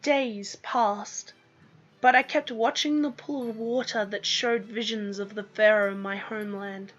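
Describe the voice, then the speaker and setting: A woman narrating a scripted first-person story in a dramatic, deliberate manner. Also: mid-range voice, fairly even intonation. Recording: dull lo-fi microphone sound. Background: music.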